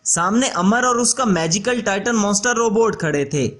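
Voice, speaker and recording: A man's voice: sits high, lively intonation, normal speed, a bit bright. A man reading scripted narration for a children's story as the storyteller.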